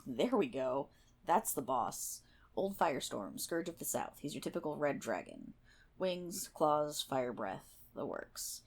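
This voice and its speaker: A woman's voice: low-pitched, big pitch swings, measured pace, a little hiss or hum, heavily acted. A woman playing a prickly, sarcastic guild healer in an online game, scolding and swearing one-on-one in an ASMR roleplay. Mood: bossy. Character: brash, prickly tsundere MMO guild healer, a woman gamer.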